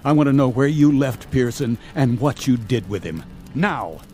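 An actor playing a stern, suspicious, relentless space captain in a radio drama, with a commanding, deliberate delivery. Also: male voice, mid-range voice, lively intonation, a little mellow, natural voice. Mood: stern, accusing.

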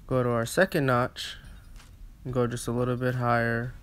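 Clear, even-toned man giving casual instructions. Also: medium pitch, normal speed, soft background music. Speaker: barber giving a tutorial. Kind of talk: casual step-by-step instructional narration.

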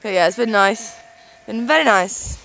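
A woman's voice: medium pitch, lively intonation, normal speed, vocal fry, a bit bright, rough recording. A young woman chatting casually to her camera while wild camping on a mountainside, laughing often. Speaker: vlogger. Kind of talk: casual outdoor vlog narration. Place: outdoors on a mountainside by a lake. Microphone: handheld camera selfie.

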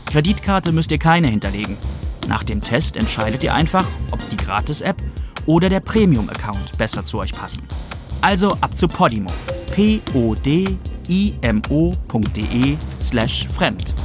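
A man's voice: medium pitch, very animated, normal speed. A man reading a scripted promo as a podcast announcer. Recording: music bed under studio voice-over. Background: music.

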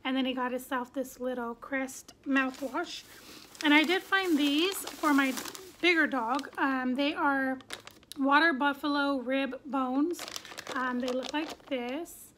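A woman's voice: high voice, takes it slowly, fairly bright tone, slight background noise, poor audio. Chatty woman showing a haul at home. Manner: chatty, many "um" fillers, upbeat. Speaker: vlogger. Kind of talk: casual shopping haul chat. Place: bedroom at home, sitting on the floor. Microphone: phone camera at arm's length.

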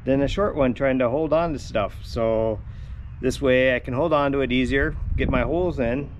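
A man's voice: mid-range voice, moderate pace, slight background noise. A man in his fifties hosting a casual DIY vlog from his driveway and garage workshop, chatty and rambling, often saying "guys". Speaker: DIY channel host.

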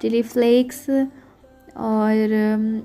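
A woman's voice: fairly high pitch, steady tone, extremely slow, dark tone, faint music behind. A young woman chatting through a home cooking recipe, often checking in with her viewers as she goes. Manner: chatty, keeps saying "theek hai" to check in with viewers. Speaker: cooking vlogger. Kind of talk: casual cooking narration.

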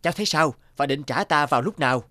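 A man's voice: fairly high pitch, lively intonation, fast talker, sharp tone, no room sound. An actor playing a big, sceptical cartoon businessman who bargains in a condescending manner. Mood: shrewd bargaining. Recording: music behind the voice.

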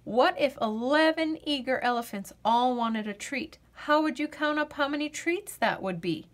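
A woman's voice: high-pitched, animated, normal speed. A woman voicing a patient, persuasive young boy, a big brother who coaxes and reasons, in a children's storybook. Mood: coaxing. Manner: coaxing, reasoning. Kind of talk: children's storybook read-aloud.

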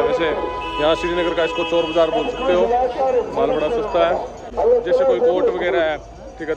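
A man's voice: a little high, lo-fi sound. A young man casually narrating a travel vlog straight to his viewers while walking through a busy outdoor market, recorded on a camera-mounted mic. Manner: chatty, talks straight to viewers. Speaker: travel vlogger. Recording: busy outdoor street noise.

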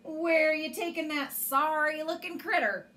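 A woman's voice: high-pitched, fairly even intonation, measured pace, bright, forceful tone. A woman voicing a male cowboy trader, a coaxing folksy stranger in a storybook. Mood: sly coaxing.

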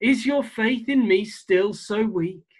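A calm, commanding grown man out of a children's storybook, read by a man. He gives short, firm orders and asks gentle questions. The delivery is slow and unhurried. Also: sits high, slightly rough, heavily acted. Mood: gently chiding.

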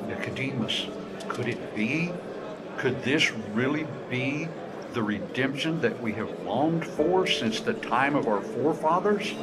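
An actor playing a grave, formal temple elder in a Bible audio drama. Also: male voice, mid-range voice, expressive, unhurried, very noisy, close to an everyday voice. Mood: hopeful wonder.

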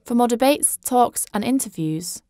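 A woman's voice: fairly high pitch, animated, moderate pace, warm tone. A woman reading a scripted promotional voice-over as an announcer. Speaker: channel announcer.

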